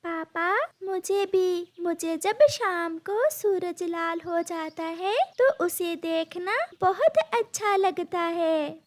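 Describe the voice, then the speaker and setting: A sweet little girl bird from a cartoon, acted by a woman. The voice is very high, childlike and unhurried. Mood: wistful delight. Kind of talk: Hindi animated story dialogue.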